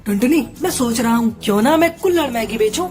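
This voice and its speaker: A woman's voice: a little high, animated, quick pace, darkish tone, faint music behind, natural voice. A woman voicing a mild, earnest male bird who works as a humble vendor in a children's cartoon. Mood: thoughtful. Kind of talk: Hindi children's cartoon acting.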